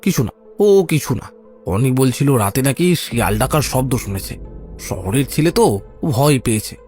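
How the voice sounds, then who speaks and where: A man's voice: medium pitch, animated, moderate pace, darkish tone, lightly acted. A man acts a secretive village uncle of middle age in a cartoon story. His mid-range voice admonishes and commands, protective but evasive. Music plays faintly behind. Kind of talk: animated audio story dialogue.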